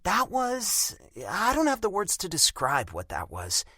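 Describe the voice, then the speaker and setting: A blunt, proud young woman with a raised, lively voice and a cutting, sarcastic edge, as a male audiobook narrator puts her on. Her words come out bright and sharp, close and dry. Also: sits high, measured pace, no echo, pitched above the performer's own voice, full character voice.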